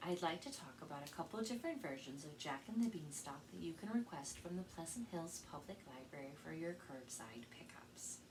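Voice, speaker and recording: An American woman working as a children's librarian, telling stories and presenting to kids in an expressive, carefully spoken way. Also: low voice, brisk, hardly any pauses. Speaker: children's librarian and storytime host. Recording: distant mic with faint background noise.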